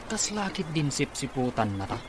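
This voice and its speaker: An actor with an Ilocano accent playing a grumbling, gossipy, wary office employee in a radio comedy drama. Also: male voice, medium pitch, sing-song, normal speed, natural voice. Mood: annoyed. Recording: noisy radio drama recording.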